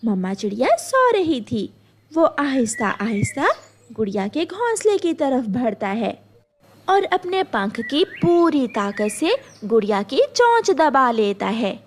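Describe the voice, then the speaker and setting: A woman reading scripted narration for a children's cartoon story in an expressive storytelling manner. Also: high voice, a little slow, slight background noise.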